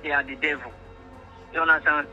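Nigerian man, high voice, quick talker, pleading, emphatic, repetitive, phone conversation. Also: steady tone. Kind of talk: earnest phone conversation in mixed English and Pidgin. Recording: phone call with music behind.

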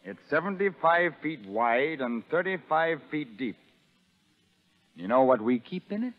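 An actor playing a sadistic, vengeful prison sergeant who taunts and barks orders in a 1930s radio drama. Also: male voice, a little high, sing-song, measured pace. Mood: gloating, taunting. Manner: menacing, taunting, barking orders. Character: sadistic, vengeful male prison sergeant bullying new convicts. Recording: narrow muffled 1930s radio broadcast, reverberant.

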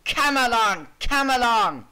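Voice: mid-range voice, measured pace, sharp tone. An actor voicing a vain, impatient male steam engine in a children's storybook, bossy and given to puffed exclamations. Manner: puffing repeated exclamations, boastful and bossy. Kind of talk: children's audio storybook with music.

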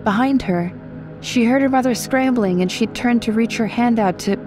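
A woman's voice: fairly high pitch, normal speed, music in the background. A woman from the United States reading scripted horror fiction as a story narrator.

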